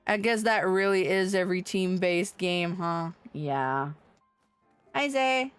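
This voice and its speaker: A woman's voice: fairly low pitch, slow, clear, resonant tone, faint music behind. A woman livestreaming an online game, chatting casually and ranting with plenty of exasperated swearing. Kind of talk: casual gaming stream chat.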